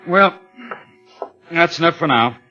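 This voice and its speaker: An actor playing a brisk, curt lawyer and boss in vintage radio drama style. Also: male voice, fairly high pitch, expressive, pushed and bright, full character voice. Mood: blunt, businesslike. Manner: businesslike, curt. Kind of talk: 1940s-50s American radio drama acting. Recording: muffled vintage radio recording, music behind.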